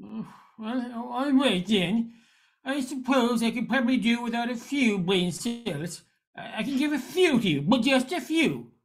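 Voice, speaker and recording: A gentle, gullible middle-aged man acted by an older woman in an amateur radio play. The voice sits fairly high and moves very slowly. He stammers and hesitates as if he has lost his train of thought. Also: animated. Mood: confused, hesitant. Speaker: amateur radio drama actor.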